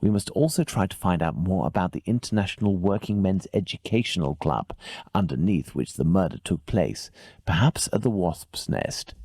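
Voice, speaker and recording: A gentleman detective from a mystery game, with an English accent. His voice is low and slightly rough, rising and falling in lively fashion as he lays out things precisely and formally. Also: normal speed, no echo, clean studio sound, exaggerated voice. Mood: brisk, instructing. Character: keen, methodical male gentleman detective, coolly self-assured. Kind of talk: video game detective dialogue.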